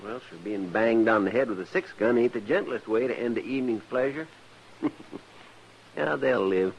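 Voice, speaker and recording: A man voicing an eager country sidekick to a lawman, mid-pitched and rustic. He is respectful and talkative, in old radio western style. The recording is dull and slightly hissy. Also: normal speed, creaky, lightly acted. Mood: amused. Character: folksy, deferential, chatty deputy of a frontier marshal.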